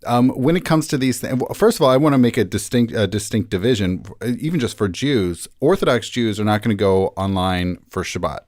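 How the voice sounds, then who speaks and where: Male podcast guest, lower register, dry recording, conversational explainer. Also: lively intonation, brisk, few pauses, darkish tone, no echo.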